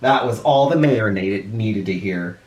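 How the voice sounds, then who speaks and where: A man reads a children's story in an echoey room, his pitch swinging widely. He takes it slowly and leans on words for drama, like a teacher reading to first graders. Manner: expressive, deliberate, dramatic emphasis. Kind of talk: animated storybook read-aloud for children. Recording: roomy, echoey indoor sound.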